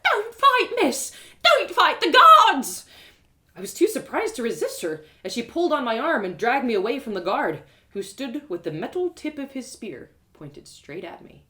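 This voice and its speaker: A woman narrating a first-person storybook tale in an expressive, dramatic reading style. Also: a little high, moderate pace. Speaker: author reading own story.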